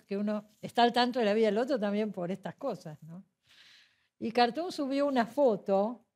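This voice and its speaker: A woman with a Buenos Aires Argentine accent hosting a conversational arts interview, chatty and quick to interject. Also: medium pitch, mellow. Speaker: television interview host.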